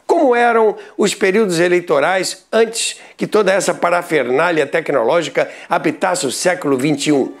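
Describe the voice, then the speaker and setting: An older man with a Brazilian Portuguese accent who hosts a radio history show, narrating from a script with expressive, emphatic delivery. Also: high-pitched, moderate pace, few pauses.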